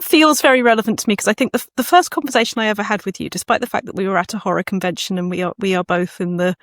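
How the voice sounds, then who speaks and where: A woman's voice: mid-range voice, rapid-fire, fairly bright tone, dry recording, clean studio sound. A woman hosting an interview podcast, speaking as a presenter to listeners and guests. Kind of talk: read introduction and conversational interview.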